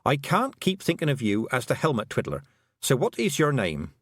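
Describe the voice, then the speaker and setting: A man voicing a practical, stern young farm girl with a crisp, firm delivery. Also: medium pitch, wildly swooping pitch, normal speed, a bit bright, no echo, clean studio sound, pitched above the performer's own voice. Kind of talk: audiobook character voice.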